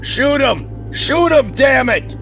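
A man's voice: very high-pitched, lively intonation, slow, vocal fry, a bit bright, soft background music, full character voice. An actor playing a brash, showboating male mob boss in an old-time radio play, taunting and barking orders. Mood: furious.

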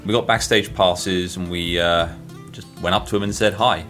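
A man with a lowish voice shares a casual story, pausing and restarting as he goes. Faint guitar music sits behind him. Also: fairly even intonation, fairly bright tone. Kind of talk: casual anecdote in conversation.

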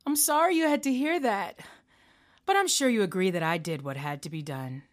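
A woman's voice: mid-range voice, normal speed, lightly acted. A woman playing a late-night radio host who turns from outraged to coldly menacing in an audio drama. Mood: calm menace. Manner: indignant then coldly menacing. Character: female late-night radio host turned vengeful killer.

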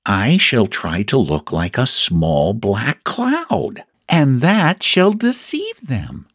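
A man's voice: medium pitch, wildly swooping pitch, slow, no echo, studio quality, voice pushed up, heavily acted. A man voicing a small, earnest toy bear from a children's storybook, ponderous and solemn as he schemes. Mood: thoughtful, solemn.

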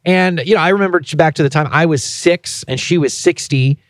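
A man's voice: fairly high pitch, a little fast, no echo, clean studio sound. A man in his thirties co-hosting a casual podcast, telling a story in a playfully indignant way. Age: 30s. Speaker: podcast co-host.

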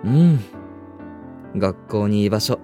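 Reserved high school boy played by a man, radio drama lead and narrator, understated but with lively pitch movement, over music. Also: slow. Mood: guarded, wistful. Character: reserved teenage high school boy, former ballet dancer.

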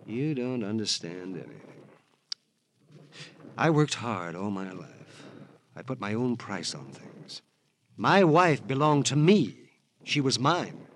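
An actor playing an edgy, possessive, self-justifying husband in an old radio crime drama, flustered and evasive. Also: male voice, medium pitch, big pitch swings, slow pace, long pauses. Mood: possessive, bitter. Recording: noisy old radio broadcast recording.

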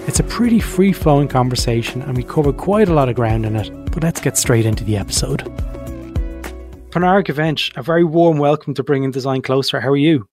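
A man hosting a design podcast, introducing the episode and interviewing his guest in a relaxed conversational way. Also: medium pitch, brisk, faint music behind. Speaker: podcast host.

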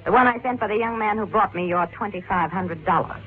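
A woman's voice: medium pitch, fairly quick, natural voice. An actress playing a scheming, anxious woman blackmailer in a theatrical 1940s radio drama style. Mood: cool, demanding. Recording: scratchy, tinny old radio recording.

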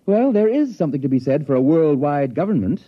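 A husky, absent-minded old-radio scientist. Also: male voice, on the high side, big pitch swings, brisk, no echo. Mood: vague, musing. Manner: mild, hesitant, musing, professorial. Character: unflappable absent-minded male scientist, smugly detached doctor. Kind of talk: 1950s radio drama acting. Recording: dull, dry vintage radio recording.

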